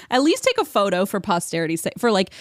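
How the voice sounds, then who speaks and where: A woman with a very animated, mid-pitched voice banters playfully as a podcast guest. She sounds close and dry, and her comedic chat swings freely up and down. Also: fairly quick, dry recording. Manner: playful, reactive.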